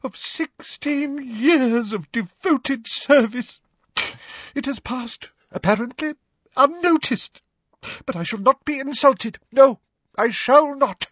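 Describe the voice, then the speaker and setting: Storybook seer-teacher, older woman played by a man: shrill, throbbing, self-pitying grandeur, wildly swooping pitch. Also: lively intonation, slow, a bit bright, husky, dry recording, highs cut off, exaggerated voice. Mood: wounded, indignant. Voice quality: high-pitched, wavering, throbbing. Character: hysterical, theatrical older female divination teacher.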